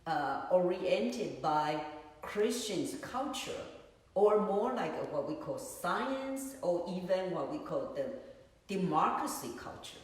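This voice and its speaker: Woman, Buddhist teacher, dharma talk, slow, searching for words, phone on tripod, distant mic, long reverb. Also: on the low side, expressive. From Taiwan. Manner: unhurried, searching for words, many "you know"s. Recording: echoey room, far from mic.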